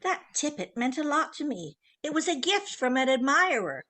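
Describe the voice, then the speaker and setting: A woman's voice: high-pitched, sing-song, moderate pace, bright, forceful tone, full character voice. A woman playing a haughty, domineering older mother in a comic radio play, with an imperious, disdainful delivery. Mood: disapproving, haughty. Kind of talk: comic old-time radio play acting.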